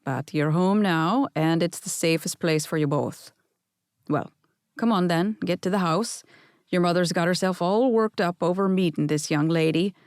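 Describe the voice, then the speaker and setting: A woman voicing a warm, teasing older Scottish grandfather with a soft brogue in an audiobook. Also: on the low side, normal speed, no room sound, clean studio sound, lightly acted. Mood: teasing, welcoming. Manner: twinkly, welcoming, gently flirtatious.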